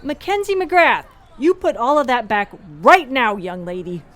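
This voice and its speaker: Audio comedy: firm, loving middle-aged mom, fairly high voice, soft music behind. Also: female voice, very animated, measured pace. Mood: stern. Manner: firm, motherly. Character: stern but loving mother and school principal. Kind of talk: comedic audio drama acting.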